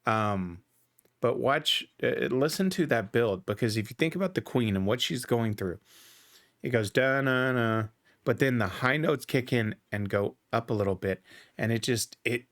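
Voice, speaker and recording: A man hosting a music reaction video, talking through what he hears in a relaxed, thinking-aloud way with frequent restarts. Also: medium pitch, normal speed. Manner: thoughtful, restarts often, says "right?". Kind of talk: casual reaction commentary.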